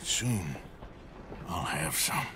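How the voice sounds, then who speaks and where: An actor playing a weary, tender American outlaw with a gruff, husky voice in a Western video game. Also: male voice, very deep voice, animated, extremely slow, background noise, full character voice. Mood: torn, subdued. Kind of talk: cinematic game dialogue.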